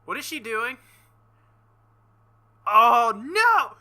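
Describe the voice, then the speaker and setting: A man reading a visual novel aloud with casual commentary, halting and embarrassed, often breaking into nervous laughter. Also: very high-pitched, very animated, bright, forceful tone. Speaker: let's play host.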